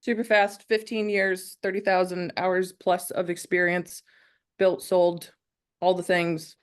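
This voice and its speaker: A woman on a conference panel, introducing herself briskly and with enthusiasm. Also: lowish voice, level tone, smooth. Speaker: panelist, fractional CPO. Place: conference stage.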